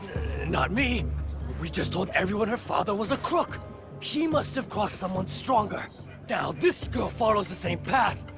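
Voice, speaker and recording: A man playing a rough, hot-tempered thug in a video game, loud, blustering and insulting. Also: high-pitched, animated, moderate pace. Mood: gruff, defensive. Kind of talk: dramatic game voice acting. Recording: loud music behind.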